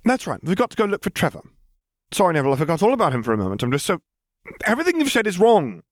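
An overbearing, bookish little schoolgirl, acted by a man reading a story. The voice is lifted far above his own, breathless and emphatic. She is bossy and quick to take offence. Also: high-pitched, huge pitch swings, dry recording, clean studio sound, voice pushed up. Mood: flustered. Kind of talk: audiobook character voice.

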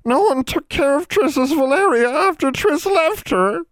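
A man's voice: very high voice, lively intonation, a little slow, a bit bright, no echo, voice pushed up, heavily acted. A man voicing a weepy old female house elf who sobs, wails and talks about herself in the third person. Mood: wailing.

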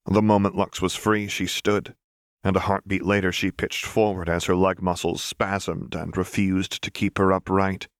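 A man with a low-pitched voice narrates fiction in an audiobook style. The reading is scripted, and the sound is dry with no room to it. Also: level tone, normal speed, smooth, no room sound.

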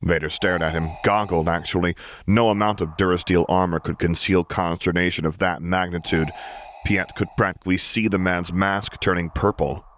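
Male audiobook reader with a deep register, delivering scripted dramatic narration over slight noise. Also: steady tone, moderate pace, a bit bright.

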